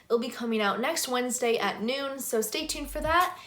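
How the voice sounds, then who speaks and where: A woman's voice: high voice, normal speed. A young woman chatting casually and enthusiastically, as a book vlogger does. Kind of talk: casual chat to camera about books.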